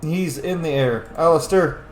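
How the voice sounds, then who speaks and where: A man's voice: fairly high pitch, measured pace, reverberant, music in the background. A man giving casual, sweary gameplay commentary, talking informally to the game's characters as he plays. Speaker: gamer, let's play host.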